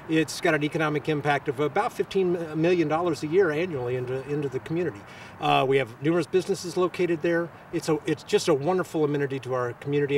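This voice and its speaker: A man answering press interview questions as a local official, with halting, hesitant phrasing. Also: a little high, distant mic, slight background noise.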